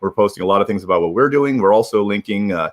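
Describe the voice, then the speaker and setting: A man with a lowish voice hosts a livestream. He talks very quickly and informally, rambling through casual announcements and circling back over his own phrases. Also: expressive. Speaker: livestream host. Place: remote video livestream.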